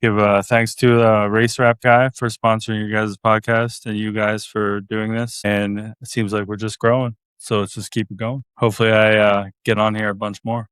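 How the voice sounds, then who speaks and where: A man's voice: lowish voice, little pitch movement, normal speed, few pauses, a bit bright, clear, resonant tone, dry recording. A man talking casually as a sim racer guest on a podcast. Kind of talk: casual interview talk.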